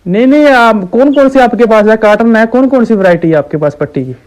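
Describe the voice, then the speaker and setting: A man playing a slow-witted, stubborn village shopkeeper on a deadpan prank call, hesitant and naively persistent. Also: high-pitched, lightly acted. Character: dim, stubborn village shopkeeper ordering absurd medicine quantities. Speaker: prank caller.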